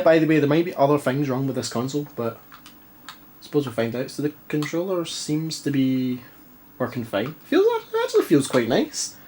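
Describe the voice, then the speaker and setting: A man's voice: a little high, background noise. A Scottish man with a stuffy, congested voice, chatting casually and mocking himself while testing an old console in a dim living room. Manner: chatty, self-mocking, rambling. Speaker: retro game vlogger. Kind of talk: casual chat.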